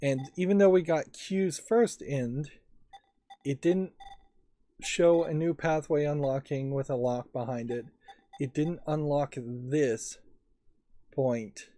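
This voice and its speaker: A man's voice: on the high side, slow pace, warm tone, dry recording. A man giving let's play commentary, thinking aloud in a musing, tentative way.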